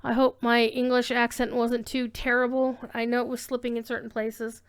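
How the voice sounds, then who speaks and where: A woman's voice: fairly high pitch, little pitch movement, normal speed, smooth. A woman livestream host chatting casually to her viewers in a rambling, informal, effusive way.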